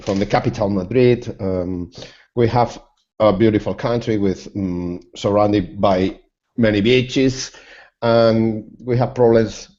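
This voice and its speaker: Slow, deep-toned man. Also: darkish tone, lo-fi sound. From Spain. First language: Spanish. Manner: slow, hesitant learner's English. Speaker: student. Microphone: video call.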